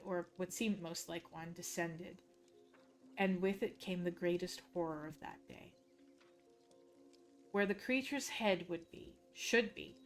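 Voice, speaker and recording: A Dutch woman, a native Dutch speaker, reading game lore aloud as a hushed, measured, suspenseful story. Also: fairly low pitch. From Netherlands.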